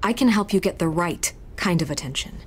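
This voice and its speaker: An actress playing a persistent, smooth-talking reporter in a video game, composed and persuasive. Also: female voice, lowish voice, lively intonation, brisk. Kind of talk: dramatic game dialogue.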